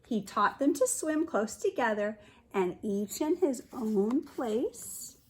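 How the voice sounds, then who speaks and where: A woman in her forties reading a picture book aloud to young children as a kindergarten teacher, expressive and explaining as she goes. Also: on the high side, slow. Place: living room at home, indoors.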